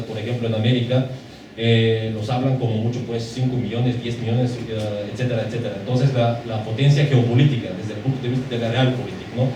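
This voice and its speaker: A man who is not a native Spanish speaker, asking a long, hesitant question from the audience at a lecture. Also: medium pitch. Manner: hesitant, many fillers and restarts. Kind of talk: formal question from the floor. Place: lecture hall. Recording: echoey hall, distant mic, background noise.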